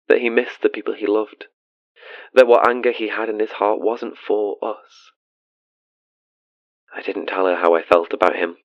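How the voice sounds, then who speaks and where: Low gravelly haunted father. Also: no room sound, highs cut off. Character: haunted, tender father recounting love for a ghost. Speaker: caller to a radio show. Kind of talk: audio drama monologue, confessional ghost story.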